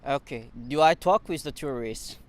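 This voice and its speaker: A man whose first language is Mandarin, speaking English with a Chinese accent in a casual interview, pausing often with fillers and restarts and chuckling now and then. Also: mid-range voice. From China. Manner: halting non-native English with frequent fillers and restarts. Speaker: interview guest.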